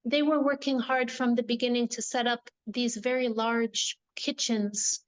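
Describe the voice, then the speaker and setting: High, flat-voiced woman from Morocco. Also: unhurried. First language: English and Moroccan Arabic. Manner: reflective, uses many fillers like "like" and "you know". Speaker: guest, nonprofit founder. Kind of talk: conversational interview.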